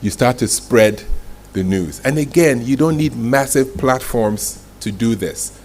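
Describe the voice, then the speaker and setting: A man's voice: mid-range voice, animated, unhurried, darkish tone. Man giving an emphatic talk, noisy recording. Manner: emphatic storytelling, rhetorical questions, short dramatic phrases. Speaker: masterclass speaker, communications executive. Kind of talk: motivational presentation to a live audience. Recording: clearly noisy live room recording.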